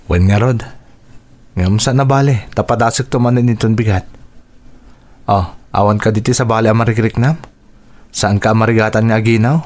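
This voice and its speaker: A man's voice: on the low side, steady tone, moderate pace, pauses often, a little mellow, close to an everyday voice. A man playing a caring, tired young provincial husband in a radio drama, speaking earnestly with a Filipino accent. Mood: tired, hopeful.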